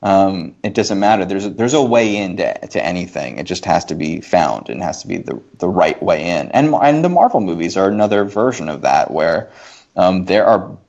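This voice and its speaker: A man in a casual, opinionated discussion, thinking aloud in a halting but emphatic way and rephrasing as he goes. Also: sits low, very animated, vocal fry, a little mellow. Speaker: podcast guest. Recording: dull lo-fi podcast audio.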